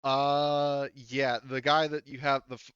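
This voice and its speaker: Clear-voiced male game master, dry muffled recording. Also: mid-range voice, level tone, slow pace, fairly bright tone, dry recording. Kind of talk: casual game-mastering, explaining dice rolls and rules.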